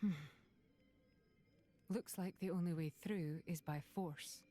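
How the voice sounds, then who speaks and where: An actress voicing a composed, serious woman warrior in a video game, calm and measured. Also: fairly low pitch. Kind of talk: dramatic video game acting. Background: music.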